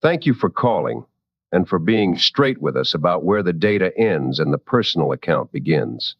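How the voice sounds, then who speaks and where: A man playing a composed late-night radio call-in host in an audio drama, measured, probing and courteous, in a slightly dull recording. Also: low-pitched, very animated, slightly rough, no room sound, heavily acted. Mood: grave, courteous.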